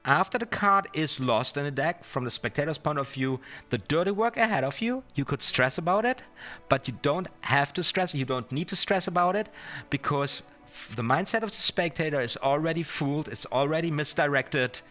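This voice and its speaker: A man with a fairly high, bright and forceful voice hosts a casual livestream as a magician. He rambles along, speaking straight to his chat and repeating words to drive a point home. Music plays behind him. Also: expressive, moderate pace.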